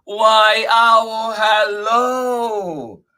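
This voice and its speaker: A man's voice: high-pitched, very animated, takes it slowly, bright, forceful tone, pitched above the performer's own voice, full character voice. A man voicing a small, bold, cheeky mouse for a children's storybook, boastful, chirpy and exclamatory. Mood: cheery, smug. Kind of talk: animated children's storybook read-aloud.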